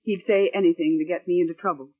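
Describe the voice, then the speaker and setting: A woman's voice: fairly low pitch, fairly even intonation, fast talker, husky. An actress playing a principled, wary female assistant in a 1940s radio drama, speaking formally and earnestly. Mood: aggrieved. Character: principled, wary young woman, a loyal assistant and narrator. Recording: muffled, band-limited old radio recording.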